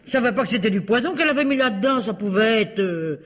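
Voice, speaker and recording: A woman's voice: on the high side, animated, quick pace. A stubborn woman servant in an old radio mystery. She talks in an emphatic, colloquial way and defends herself with ready indignation. The style is that of 1950s French radio. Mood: defiant. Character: chatty, stubborn, self-justifying working-class woman servant. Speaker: radio actor.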